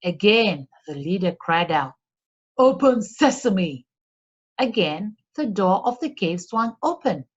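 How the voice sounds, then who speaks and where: A woman reads a children's story aloud at an unhurried pace, with lively rises and falls in her voice. Her tone has a soft husk to it, and the recording is a little dull. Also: lowish voice. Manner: deliberate, expressive read-aloud for children. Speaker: storyteller for a read-along. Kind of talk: scripted storybook narration.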